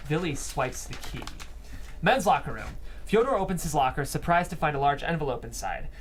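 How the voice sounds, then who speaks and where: Guy reading stage directions at a table read, highish voice that moves around a lot. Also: a little hiss or hum. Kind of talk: scripted narration at a table read.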